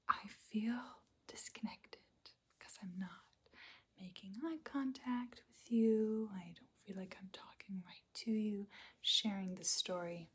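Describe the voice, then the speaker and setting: Slow, breathy whispering woman. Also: medium pitch. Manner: soft unvoiced whisper with small quiet laughs. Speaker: ASMR channel host. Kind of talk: whispered ASMR life-update chat.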